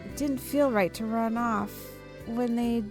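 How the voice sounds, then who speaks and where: Woman reading as an earnest, slightly high-voiced young heroine. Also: steady tone, unhurried, music in the background. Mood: earnest, hesitant. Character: earnest dutiful young heroine of a fantasy story.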